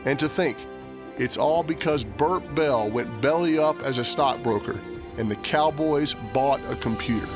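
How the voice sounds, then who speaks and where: A man's voice: medium pitch, normal speed. A man reading scripted documentary narration in a polished, tongue-in-cheek way. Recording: music bed under narration.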